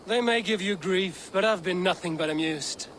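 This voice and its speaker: An actor playing a steadfast, commanding Viking warrior with a firm, earnest delivery in a game cutscene. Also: male voice, high voice, normal speed, fairly bright tone, a little hiss or hum. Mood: wry, friendly. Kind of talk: video game cutscene acting.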